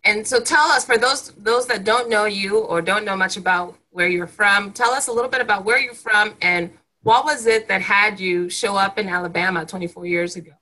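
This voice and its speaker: Woman, podcast host, coach, bright voice, curious questions, repeats words. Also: medium pitch, moderate pace, hardly any pauses, poor audio. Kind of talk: conversational interview.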